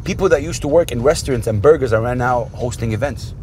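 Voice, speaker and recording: Saudi-born guy chatting on a podcast, earnest, slangy, mid pitch, bit of music behind. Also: fast, a little mellow. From Jeddah, Saudi Arabia. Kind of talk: casual podcast conversation.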